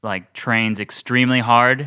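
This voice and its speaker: A man's voice: medium pitch, fairly even intonation, a little slow, sharp tone, clear, resonant tone. A young man co-hosting a podcast, talking earnestly and thinking aloud with many restarts. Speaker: podcast co-host. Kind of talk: casual podcast chat.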